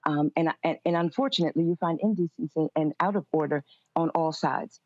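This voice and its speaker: A woman's voice: low voice, a little fast, no room sound. A woman, a pastor appearing as a panel guest, giving conversational political commentary. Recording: dull band-limited audio.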